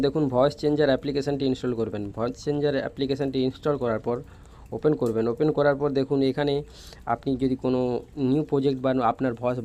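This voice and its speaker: Fast-talking man with a mellow voice. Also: mid-range voice. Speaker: tutorial presenter. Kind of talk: casual step-by-step app tutorial narration.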